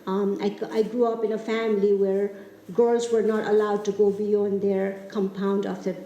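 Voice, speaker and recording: A woman in her fifties from Nepal with a Nepali accent, giving a casual talk to a club about her community TV show. She speaks in a friendly, halting way, with many fillers and restarts. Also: medium pitch, little pitch movement, dark tone, echoey room, a little hiss or hum, rough recording. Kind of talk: casual presentation.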